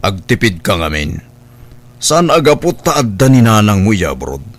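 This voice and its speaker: A man's voice: fairly low pitch, big pitch swings, normal speed. A man playing a stern, protective uncle in a radio drama, with a Filipino accent and a lecturing, reproving manner. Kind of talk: Ilocano radio drama acting.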